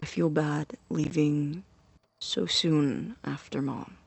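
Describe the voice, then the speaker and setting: An actor plays a self-conscious young student in an audio drama. The speech is slow and hesitant, with natural halting phrasing. The sound is close and dry. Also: mid-range voice, steady tone, vocal fry, breathy edge, no echo, full character voice. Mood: hesitant, guilty. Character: awkward, hesitant young man leaving for college.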